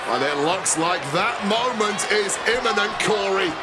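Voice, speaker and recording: High-pitched man commentating dramatic wrestling action. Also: expressive, moderate pace. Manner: dramatic, emphatic, florid wording. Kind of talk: excited play-by-play and colour commentary. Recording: loud arena crowd and noise behind voice. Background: roaring crowd and impact slams.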